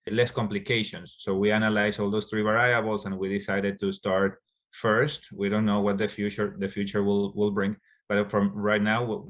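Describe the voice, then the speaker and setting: A man from Ecuador, a Spanish speaker, answering interview questions as a doctor, halting with many fillers and restarts. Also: fairly low pitch, a bit bright. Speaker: endocrinologist guest.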